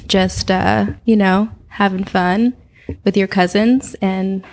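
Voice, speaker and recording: Gravelly low-voiced woman, chatty guest. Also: takes it slowly, slight background noise. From Oakland, California. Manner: relaxed, chatty, with frequent fillers. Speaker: podcast guest. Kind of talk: casual interview chat.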